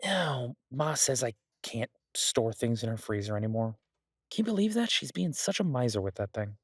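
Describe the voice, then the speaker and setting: A man's voice: mid-range voice, sing-song, moderate pace, husky, no room sound, studio quality. A man playing a doting, restless mafia boss in an audio roleplay, talking conversationally with theatrical exasperation. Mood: exasperated, griping. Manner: conversational, exasperated, swearing, playfully dramatic. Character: male mafia boss, doting, restless, stuck baking in a safe house. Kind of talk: audio roleplay, one side of an intimate phone conversation.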